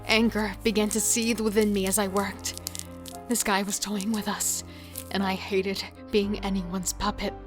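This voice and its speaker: A woman's voice: mid-range voice, little pitch movement, unhurried, soft background music, natural voice. A woman playing a wary, devoted female killer in a horror audio drama, telling and living the story with tense emotion. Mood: angry, wary. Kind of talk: first-person dramatic narration and horror audio drama dialogue.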